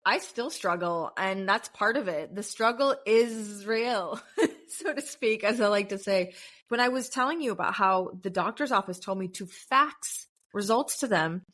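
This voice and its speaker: A woman in her thirties from the United States, a podcast guest chatting casually and telling lively stories. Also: unhurried. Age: 30s. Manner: animated storyteller, bursts into high-pitched acted quotes and laughs. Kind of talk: casual interview chat.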